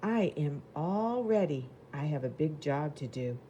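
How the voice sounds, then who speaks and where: A woman voicing a proud, eager little red fire engine in a children's storybook, with simple, expressive delivery. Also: deep voice, measured pace, dull audio, slight background noise. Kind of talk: children's storybook character narration.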